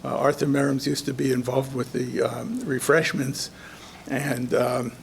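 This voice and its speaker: A hoarse man organizing a contest gives halting welcome remarks at a ceremony, with many ums and restarts. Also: medium pitch, steady tone, slight background noise. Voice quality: gravelly. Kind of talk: informal ceremony speech. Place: community event hall.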